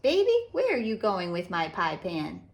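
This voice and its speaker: A woman voicing a kind, loving grandmother in a children's storybook, affectionate and gently instructive. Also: fairly low pitch, huge pitch swings, moderate pace.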